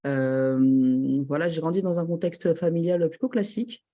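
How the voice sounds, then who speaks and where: Woman, thirties, podcast guest, mellow tone, hesitant, fillers. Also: low voice, steady tone. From Paris region. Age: 30s. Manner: hesitant, slow, many fillers. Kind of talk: casual chat.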